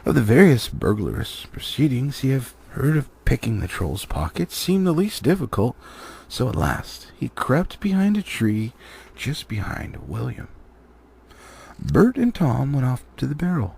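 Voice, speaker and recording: A man narrating a storybook expressively, with dramatic pauses and drawn-out phrasing. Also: mid-range voice, huge pitch swings, creaky, a little mellow, a little hiss or hum. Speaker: audiobook reader.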